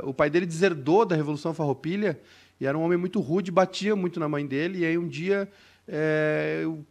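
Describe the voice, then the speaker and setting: A man with a southern Brazilian gaúcho accent, chatting casually and telling a story in a halting, rambling way, full of restarts and tag questions. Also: high voice.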